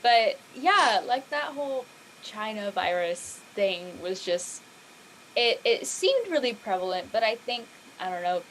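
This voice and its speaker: A woman with a mid-pitched voice talks casually, as a performer in a group discussion. There is some background noise and room ambience behind her. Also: expressive, a little slow, a bit bright, low-quality recording. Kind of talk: casual panel discussion of a live-read show.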